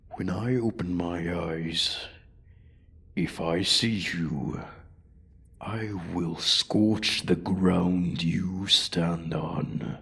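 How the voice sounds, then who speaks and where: Ancient dragon, played by a man, fantasy audio roleplay: very low, slow, breathy, haughty and quietly threatening. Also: heavily acted. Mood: quiet menace. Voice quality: husky, breathy, often dropping to a whisper. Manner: haughty, deliberate, coldly threatening. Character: ancient, menacing, arrogant male dragon guarding his hoard. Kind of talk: slow, theatrical fantasy ASMR roleplay monologue.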